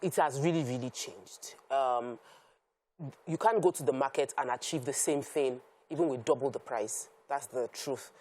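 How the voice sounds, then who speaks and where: A woman's voice: extremely low pitch, moderate pace, a little mellow. A woman with a Nigerian accent giving conversational opinions as a talk show panelist.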